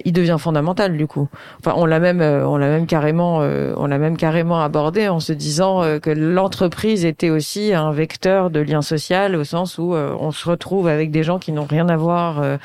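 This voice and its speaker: Smooth-voiced woman in her thirties. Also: deep voice, a little mellow, dry recording. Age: 30s. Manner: thoughtful, searching for words, talkative. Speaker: interviewer. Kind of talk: conversational interview.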